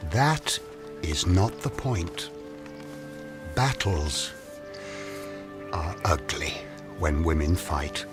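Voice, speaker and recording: Stately old male gift-giver, low voice, slow, benevolent and grave, radio drama, loud music behind. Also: expressive, heavily acted. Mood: solemn, kindly. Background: prominent music.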